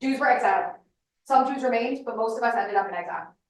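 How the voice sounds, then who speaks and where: A woman's voice: on the high side, quick pace. A woman, giving an emphatic, insistent public lecture to a room full of people. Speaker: speaker. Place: large room with audience. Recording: echoey hall, mic at a distance.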